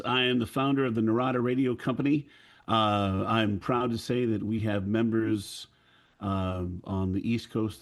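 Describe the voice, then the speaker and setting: A man introducing himself on a panel with deliberate, declarative storytelling. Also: lowish voice. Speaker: audio drama producer, panelist. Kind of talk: self-introduction with personal storytelling.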